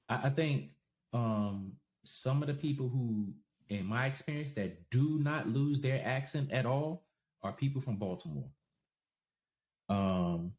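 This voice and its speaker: A man from New York co-hosting a podcast in casual chat, with a faint New York accent worn down by travel, laid-back and quick to laugh. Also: lowish voice, a little mellow. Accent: faint New York, softened by travel. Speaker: podcast co-host.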